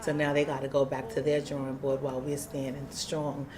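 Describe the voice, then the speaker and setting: A woman's voice: rumbling low, monotone, brisk, a crowd in the background. A woman, a community housing activist, telling her story candidly in an interview, emphatic and plain-spoken, acting out what people said.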